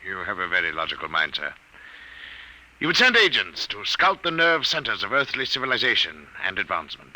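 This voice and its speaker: A wealthy, obsessive client in a 1950s radio play. His voice is very low yet piercingly forceful. He speaks with formal, deliberate precision and guarded courtesy, on a vintage broadcast recording. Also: wildly swooping pitch, heavily acted, poor audio. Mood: grave, insistent. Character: courteous, secretive, obsessive wealthy client fearing Martian invasion. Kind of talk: 1950s radio drama acting.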